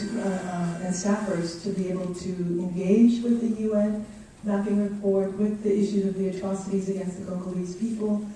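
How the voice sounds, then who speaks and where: A woman politely moderating a formal question session in a measured way. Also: fairly low pitch, mellow. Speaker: moderator of a briefing. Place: meeting room without microphones for speakers. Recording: echoey room, distant microphone, faint noise.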